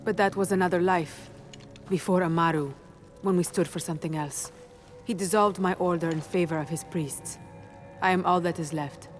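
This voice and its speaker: Solemn low-voiced woman warrior acted by a woman. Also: fairly even intonation, normal speed, close to an everyday voice. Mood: solemn, proud. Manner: formal, declarative. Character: solemn, proud woman warrior, duty-bound rebel leader. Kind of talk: video game cutscene acting. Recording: music under the voice.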